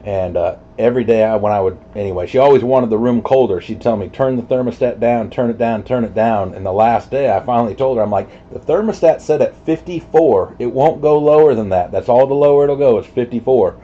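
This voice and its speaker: A man's voice: mid-range voice, a little fast, hardly any pauses, warm tone. A man chatting casually over CB radio in a rambling, easygoing way, with some hesitation. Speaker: CB radio operator chatting on channel. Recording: dull CB radio audio, faint noise.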